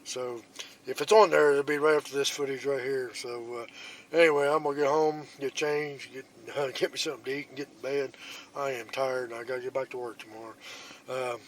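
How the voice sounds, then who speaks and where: A man, filming a hunting vlog on his phone outdoors, telling his story in an excited ramble and switching to a hushed whisper up in the tree stand. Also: mid-range voice, a little fast, a little hiss or hum. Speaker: bowhunting vlogger. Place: outdoors in woods.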